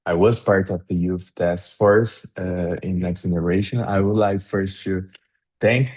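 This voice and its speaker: Low-voiced Brazilian man on a video call. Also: steady tone, measured pace, darkish tone. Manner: earnest, formal, with fillers and restarts. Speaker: panelist. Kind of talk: webinar panel answer.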